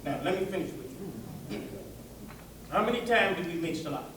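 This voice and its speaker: A man preaching an animated, folksy sermon to listeners, tossing out rhetorical questions and colloquial asides. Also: high-pitched, fairly quick, noisy background. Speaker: preacher giving a public religious address. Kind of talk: animated sermon with call-and-response to a live audience. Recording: echoey hall, distant microphone.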